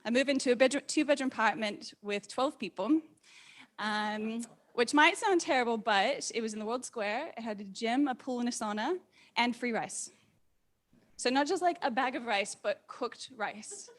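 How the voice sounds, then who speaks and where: Woman, thirties, higher-pitched voice, mixed international accent, informal storytelling, animated, candid public speaker. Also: moderate pace. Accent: hard-to-place mixed accent, neither clearly American, New Zealand nor Australian. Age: 30s. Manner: animated, candid, acts out quoted thoughts. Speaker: speaker at a community meetup. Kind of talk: informal personal storytelling to a live audience.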